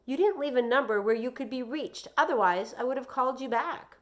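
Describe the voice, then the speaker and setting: Woman doing a fed up storybook mom, highish voice, scolding, defensive, kinda bitter. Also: a little fast, natural voice. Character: weary, exasperated mother, defensive and scolding.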